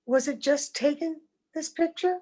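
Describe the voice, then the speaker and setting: An actress playing a gentle, wistful, mysterious wife in a radio play, speaking with tender care. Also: female voice, high voice, unhurried. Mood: yearning. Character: gentle, wistful ageless wife, mysterious visitor, secretly old. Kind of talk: old-time radio drama acting. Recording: home video call with music.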